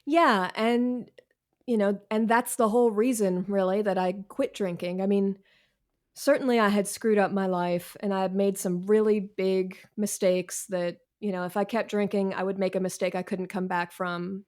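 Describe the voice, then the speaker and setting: A woman's voice: medium pitch, fairly even intonation, moderate pace, darkish tone. An American woman talking casually as an interview guest, candid, wry and self-deprecating, with slangy phrasing. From Washington, D.C., United States.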